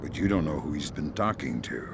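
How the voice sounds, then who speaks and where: A man voicing a gruff middle-aged covert agent in a video game, deadpan and terse, with a gravelly voice. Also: rumbling low, a little fast, full character voice. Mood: cool, menacing. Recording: loud wind and engine noise behind.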